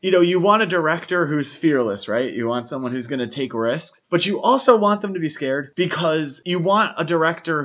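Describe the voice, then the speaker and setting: A man's voice: mid-range voice, a bit bright, dull audio. A man co-hosting a podcast in a casual film discussion, explaining his opinions as he goes. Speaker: podcast co-host.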